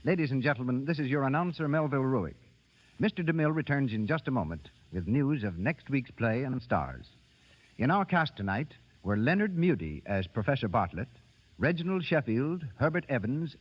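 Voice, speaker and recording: Male radio announcer, 1930s. Formal scripted delivery with a lively melodic swing, in a muffled, reverberant broadcast. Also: medium pitch, moderate pace, breathy edge.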